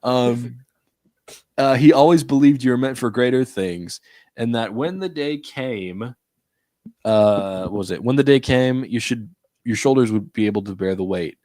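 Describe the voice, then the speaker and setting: A man co-hosting a casual movie review chat, retelling scenes in a relaxed way with fillers. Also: medium pitch, measured pace, no echo, clean studio sound. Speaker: podcast co-host.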